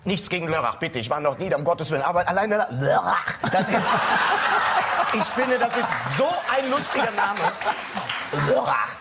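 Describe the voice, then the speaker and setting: A man in his thirties, a comedian guest on a TV talk show, telling lively anecdotes with lots of laughter and quick dialect mimicry. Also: high-pitched, over a noisy crowd. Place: large TV studio with live audience. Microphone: studio mic, seated on couch. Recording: loud audience and studio noise. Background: audience laughter.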